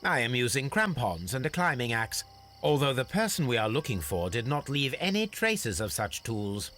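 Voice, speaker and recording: A man playing a calm, confident Victorian gentleman detective in a video game, with a British accent and a precise, formal manner. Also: medium pitch, normal speed, slight background noise. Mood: brisk, assured. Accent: British English.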